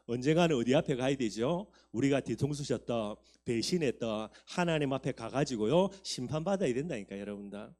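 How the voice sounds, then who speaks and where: A man's voice: medium pitch, a little mellow. A man in his fifties, a pastor preaching a sermon, talking earnestly to the congregation and building up for emphasis. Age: 50s.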